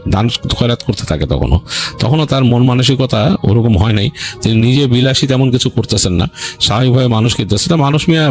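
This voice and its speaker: A man with a Bangladeshi accent narrates a story informally, in a colloquial, rambling way with explanatory asides. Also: medium pitch. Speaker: ghost story narrator on a radio-style show.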